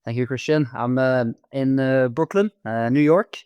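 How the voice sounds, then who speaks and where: A man in his twenties with a Norwegian accent, chatting casually as a podcast guest, halting often with ums and repeated words. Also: mid-range voice, darkish tone, clean tone, no echo, highs cut off. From Norway. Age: 20s. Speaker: podcast guest, amateur marathon runner. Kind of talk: casual interview chat.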